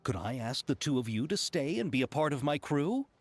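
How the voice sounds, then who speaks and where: A man's voice: medium pitch, sing-song, a little fast, a little hiss or hum. A man voicing a courteous, earnest film director in a video game, speaking politely. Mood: hopeful.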